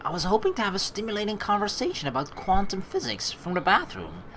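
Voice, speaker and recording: A young woman reading a visual novel aloud with joking, swearing asides, animated and quick to laugh. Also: medium pitch, a little hiss or hum. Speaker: let's play streamer reading a visual novel.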